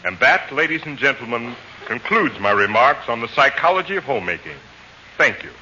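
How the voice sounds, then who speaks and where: A man's voice: mid-range voice, big pitch swings, a little fast, close to an everyday voice. A man playing a polite, self-assured learned doctor, formal and articulate, in a 1940s radio comedy. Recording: muffled, noisy old radio recording.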